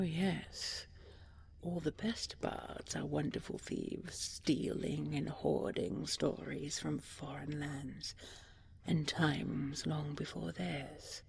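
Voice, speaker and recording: A regal dragon queen voiced by a woman speaks very slowly in a soft, creaky near-whisper. She is tender and loving, pausing gently as she consoles her beloved in an intimate bedtime roleplay, with faint noise behind her. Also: low voice, heavily acted. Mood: amused, affectionate. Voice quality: soft, breathy, often whispered. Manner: gentle, halting, unhurried endearments. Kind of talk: intimate audio roleplay, sleep-aid storytelling.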